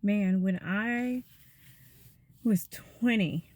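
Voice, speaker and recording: A woman, giving a gentle, chatty devotional talk to her viewers. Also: mid-range voice, extremely slow, no room sound. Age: 50s.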